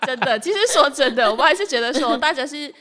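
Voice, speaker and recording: A woman's voice: high voice, expressive, fast, fairly bright tone. A woman with a Malaysian accent who hosts a podcast, chatting casually in a playful, teasing way. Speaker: podcast host. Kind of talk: casual behind-the-scenes chat.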